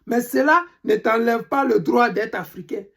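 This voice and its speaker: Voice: mid-range voice, moderate pace, vocal fry, a bit bright. An Ivorian speaker with an Ivorian French accent, delivering an indignant, emphatic political monologue to followers and hammering questions again and again. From Côte d'Ivoire. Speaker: political commentator. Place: living in Europe.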